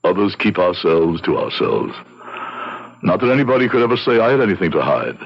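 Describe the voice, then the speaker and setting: A dull vintage radio recording of a haunted drunkard. His voice is low and swings widely as he broods, full of self-loathing and dread, in a theatrical confessional manner. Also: big pitch swings, a little fast, creaky, over loud music, exaggerated voice. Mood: brooding, guilty. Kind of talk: vintage radio drama acting.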